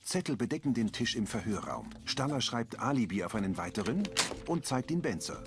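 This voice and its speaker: A man reading the audio description of a crime series in a calm, matter-of-fact way. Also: medium pitch, a little fast, music in the background.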